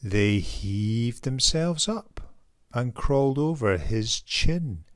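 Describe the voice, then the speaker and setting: Slow-paced man reading a children's storybook. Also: medium pitch, animated, dry recording, clean studio sound. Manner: theatrical, measured, emphatic. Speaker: children's author reading own picture book. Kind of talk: expressive rhyming storybook narration.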